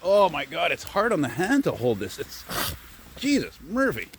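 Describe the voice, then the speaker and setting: An older man with a deep baritone voice, chatting as he vlogs on a walk through snowy city streets. He meanders and mutters, with mild swearing and self-deprecating asides. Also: wildly swooping pitch, measured pace, a little hiss or hum, poor audio. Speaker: vlogger. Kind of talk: rambling walking vlog. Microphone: phone on a selfie stick.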